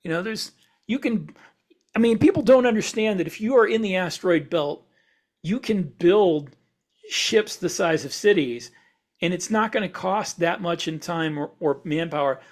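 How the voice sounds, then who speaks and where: A man with a notably high-pitched voice talks through ideas as a podcast co-host. He explains step by step in a casual, speculative way, halting and rewording as he goes.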